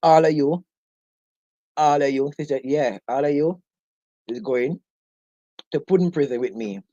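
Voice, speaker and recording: A man's voice: a little high, unhurried, warm tone, no echo. A man with a Jamaican accent hosting a casual live discussion, joking and laughing often with the viewers. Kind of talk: casual live-stream chat. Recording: dull lo-fi sound.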